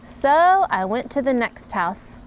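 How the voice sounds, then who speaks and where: A woman, a mother, reading a picture book aloud to her children in an animated, expressive way with playful emphasis. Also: high voice, slow, slight background noise, poor audio. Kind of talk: storybook read-aloud.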